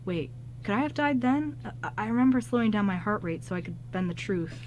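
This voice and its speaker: A woman's voice: mid-range voice, lively intonation, a little fast, darkish tone, muffled recording, a little hiss or hum, close to an everyday voice. A woman playing a flustered, kind-hearted male ghost who stammers and rambles in an amateur radio drama. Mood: confused.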